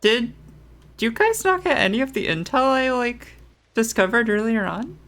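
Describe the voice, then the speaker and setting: A woman's voice: fairly high pitch, expressive, normal speed, a bit bright. A woman gaming streamer giving casual, teasing reaction commentary as she plays. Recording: faint game music behind.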